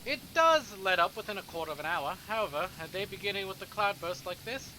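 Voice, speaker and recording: A man's voice: high-pitched, animated, fast talker, background noise, pitched above the performer's own voice. A man voicing a fretful, fussy young British man narrating a visual novel, with a put-on British accent. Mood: musing. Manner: whiny, fussy.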